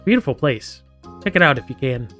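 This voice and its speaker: A man's voice: medium pitch, animated, fairly quick, a bit bright, faint music behind, close to an everyday voice. A man voicing a teasing, doting mother of a teenager in a casual manner. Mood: friendly, doting.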